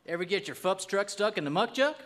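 A man acting folksy cheerful beer drinker, highish voice, singsong tongue-twisters, live stage sketch. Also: expressive, brisk, fairly bright tone. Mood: playful. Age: middle-aged. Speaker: comedy sketch performer. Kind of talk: live radio-style beer ad parody. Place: stage in a small theatre. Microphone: stand microphone. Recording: live stage amplification in a hall.

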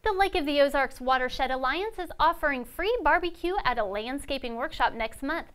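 A young woman reads local news and event listings from a studio desk. Her voice sits high. She keeps a crisp, steady newsreader pace. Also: a little fast. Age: young adult. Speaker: news anchor.

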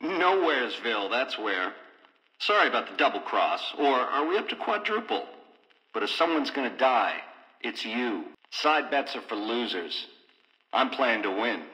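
A slick, threatening casino gangster in a video game. His voice is bright, forceful and very animated, full of glib, mocking hipster slang. It booms through an intercom with long reverb. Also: measured pace. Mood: defiant, confident. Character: slick menacing casino gangster, gloating double-crosser. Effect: intercom speaker.

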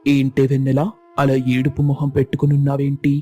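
Man playing a husky, easygoing village brother-in-law. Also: medium pitch, completely flat delivery, a little fast, warm tone, soft background music, close to an everyday voice. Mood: familiar, welcoming. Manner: familiar, colloquial. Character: friendly, informal brother-in-law running a struggling small hotel. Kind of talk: animated moral story dialogue.

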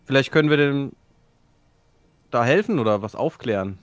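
A man's voice: medium pitch, expressive, normal speed, no room sound. A man chatting and joking casually as a player in an online tabletop game.

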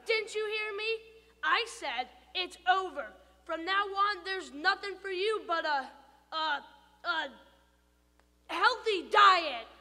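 A woman's voice: extremely high pitch, lively intonation, slow, pushed and bright, long reverb tail, pitched above the performer's own voice, full character voice. A woman voicing an earnest young boy trying to quit sweets in a storybook. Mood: determined. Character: young boy fighting his sweet tooth.

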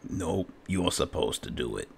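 Very deep, gravelly man commentating gameplay. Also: sing-song, unhurried. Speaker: gamer playing a fantasy roleplay game. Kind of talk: casual in-character gameplay commentary. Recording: noisy, game ambience behind voice.

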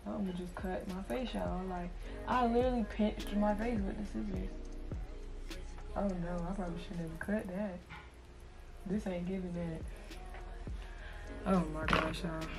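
A woman's voice: fairly low pitch, fairly even intonation, a little slow, long pauses, low-quality recording. Chatty young woman vlogging, music under. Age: 20s. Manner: chatty, playful, talks to viewers as "y'all". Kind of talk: casual chat to camera. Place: bedroom, indoors. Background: music playing.

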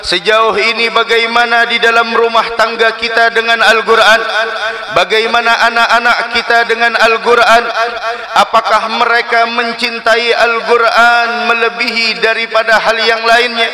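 A man's voice: very high-pitched, little pitch movement, normal speed, crowd noise. A middle-aged man preaching a Friday sermon from a mosque pulpit into a stand mic, fervent and declamatory, with chanted Arabic phrases.

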